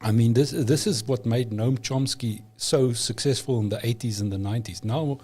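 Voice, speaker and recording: Mellow-voiced man. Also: mid-range voice, normal speed, husky. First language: Afrikaans. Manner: emphatic, opinionated, frequent restarts. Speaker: podcast guest. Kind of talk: casual conversation.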